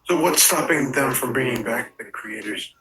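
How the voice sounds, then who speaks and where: A man voicing a resentful, sardonic animatronic in an animated web show, talking in a halting, conversational way. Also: mid-range voice, crowd noise, natural voice, poor audio. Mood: probing.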